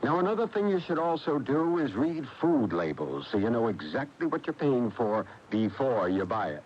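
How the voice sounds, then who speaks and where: A man's voice: medium pitch, sing-song, moderate pace. An American man reading a scripted public service advice spot on the radio in a folksy, instructive way. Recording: muffled, hissy AM radio broadcast tape.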